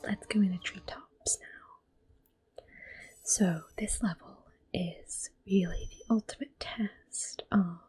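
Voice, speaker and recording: A woman giving gentle, unhurried ASMR gameplay commentary in a soft, whispered voice, reassuring and close to the microphone. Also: medium pitch, breathy edge. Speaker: ASMR gaming host.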